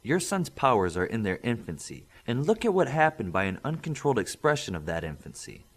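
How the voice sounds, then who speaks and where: A man reading the part of a polite, firm official woman in her thirties, formal and measured, in an audiobook. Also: medium pitch, big pitch swings, husky. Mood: serious, firm.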